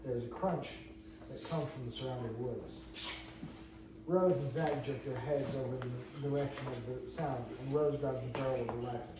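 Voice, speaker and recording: A man's voice: mid-range voice, steady tone, normal speed. A man reading stage directions aloud at an amateur table read, plainly and with some stumbles over phrases. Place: indoor room with a few other readers. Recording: echoey room, distant mic, noisy.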